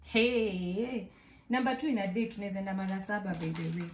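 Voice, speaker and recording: A woman's voice: medium pitch, a little fast, noisy background. A young woman with a Kenyan accent chatting casually and animatedly in her home kitchen, filmed close on camera. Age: young adult. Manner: chatty and animated, mixing English with Swahili. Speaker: vlogger. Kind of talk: casual shopping haul chat. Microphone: camera in front at close range.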